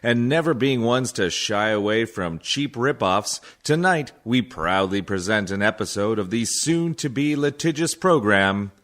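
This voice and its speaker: Low-voiced deadpan male announcer. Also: measured pace, hardly any pauses. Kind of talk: scripted mock-serious introduction to radio comedy.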